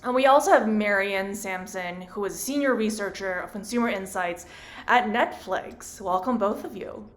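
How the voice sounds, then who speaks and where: A woman's voice: mid-range voice, normal speed. A woman from Hong Kong moderating a virtual panel, upbeat and chatty.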